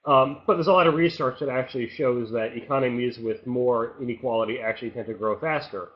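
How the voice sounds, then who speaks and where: A man on a discussion panel, a writer laying out his arguments fast, with a steady stream of fillers and hedges. His pitch sits in the middle. The sound is dull and lo-fi. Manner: quick, hedging with many fillers. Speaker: guest writer.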